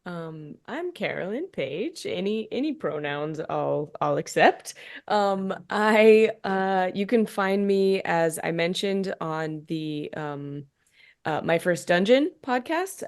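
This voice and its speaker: A woman's voice: lowish voice, expressive, slow, darkish tone, clear, resonant tone. Giggly woman rambling through an intro. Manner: giggly, rambling, with many fillers. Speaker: panelist, comedian, streamer. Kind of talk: casual panel self-introduction.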